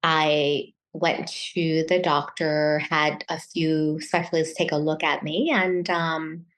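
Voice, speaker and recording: A middle-aged woman born in Taiwan, talking casually as an interview guest, often pausing and restarting her sentences. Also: low voice, level tone, a bit bright. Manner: hesitant, many restarts and fillers.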